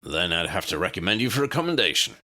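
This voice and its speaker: A man voicing a gruff but fair commandant, authoritative and measured, in an audiobook story. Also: low-pitched, sing-song, bright, forceful tone, exaggerated voice. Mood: measured, knowing. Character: gruff but fair male commandant, cigar-smoking superior.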